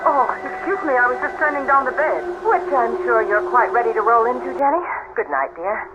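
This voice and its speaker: A woman's voice: on the high side, lively intonation, fairly quick, dull audio, over loud music, natural voice. An actress playing a worldly, sardonic woman of a gloomy house in a theatrical radio drama style. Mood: brisk, sardonic. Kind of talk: 1970s radio drama acting. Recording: noisy old radio broadcast recording.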